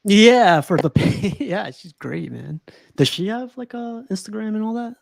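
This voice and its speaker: A man with a slightly high, gravelly voice hosts a relaxed stream chat. He is enthusiastic, laughs often and keeps calling his guest "man." The sound is dry and close. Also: a little slow, no room sound. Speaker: stream host. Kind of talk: casual chat.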